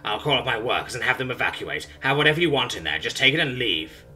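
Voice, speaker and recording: A man voicing an ancient, bitter alien abductor with needle teeth, speaking slowly and menacingly, often in a whisper. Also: deep voice, sing-song, bright, forceful tone, soft background music, pitched below the performer's own voice, full character voice. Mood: pleading.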